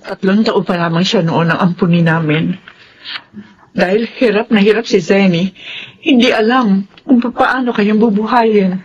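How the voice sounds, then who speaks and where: Casting: middle-aged Filipino mother, worried and apologetic, mid-pitched raspy voice, radio drama. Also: unhurried, highs cut off. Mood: regretful.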